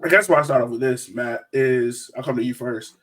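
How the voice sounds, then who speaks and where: A man's voice: medium pitch, fairly quick, fairly bright tone. A man hosting a casual sports chat on a podcast. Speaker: podcast host. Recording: voice distant from the mic.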